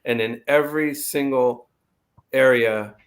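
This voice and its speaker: Agreeable man chiming in on a call. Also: medium pitch. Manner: agreeable, chiming in with quick affirmations. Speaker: panel guest. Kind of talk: casual panel chat.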